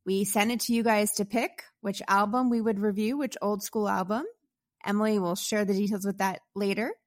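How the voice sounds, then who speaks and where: A woman's voice: medium pitch, steady tone, moderate pace. Cheery podcast co-host woman, listing whats on the show. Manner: upbeat, runs through the show lineup. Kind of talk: casual podcast chat.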